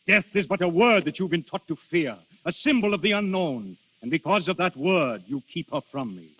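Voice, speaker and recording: An actor playing Death in human guise as a proud, sorrowful immortal lover, in grand, eloquent 1930s radio style. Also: male voice, a little high, expressive, normal speed, fairly bright tone, breathy edge. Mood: proud, impassioned. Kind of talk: 1930s radio drama acting. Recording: muffled old 1930s radio recording.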